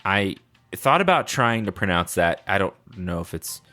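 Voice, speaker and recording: A man with a lowish voice and very animated intonation hosts a solo podcast. He talks casually and off the cuff, wandering from point to point with playful, self-deprecating asides. Faint music sits behind his voice. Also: moderate pace. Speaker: podcast host.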